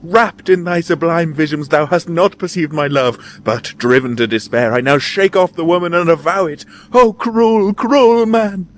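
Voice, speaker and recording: A gushing young lady of high melodrama, acted out by a male storyteller. The voice sits high and swoops theatrically through swooning, old-fashioned declarations. A faint noise lies behind it. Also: big pitch swings, normal speed, gravelly, hardly any pauses, fairly bright tone, full character voice. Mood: swooning, reproachful.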